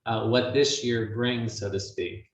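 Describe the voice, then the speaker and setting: A man hosting a webinar conversation, asking questions in a reflective, halting way with many pauses. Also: medium pitch, steady tone, far from the mic. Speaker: webinar host. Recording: dull, roomy sound from off-mic, faint hiss.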